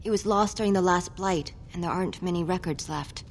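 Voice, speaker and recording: A woman's voice: fairly low pitch, a little fast, a little hiss or hum, lightly acted. An actress playing a shy, hopeful young female dwarf scholar in a fantasy video game, earnest and apologetic. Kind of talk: video game character acting.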